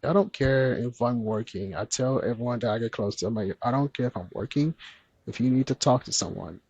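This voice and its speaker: A man speaking gently and earnestly as a podcast guest, with a soft, soothing voice. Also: mid-range voice, brisk, husky, no echo. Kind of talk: candid conversational interview.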